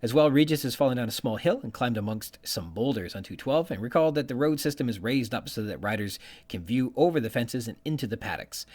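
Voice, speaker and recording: Male podcast host, medium pitch with very animated intonation, bookish, reading analytical notes and quotations. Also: husky. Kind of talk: literary commentary reading from prepared notes, quoting passages.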